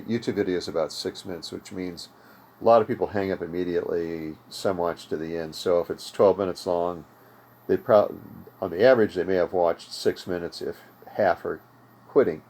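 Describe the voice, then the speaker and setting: Older american guy, deep voice, explaining stuff casually like a hobby tutorial host. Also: moderate pace, darkish tone, a little hiss or hum. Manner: conversational, explanatory. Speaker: host of an amateur radio tutorial series. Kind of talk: informal unscripted tutorial talk. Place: home radio room.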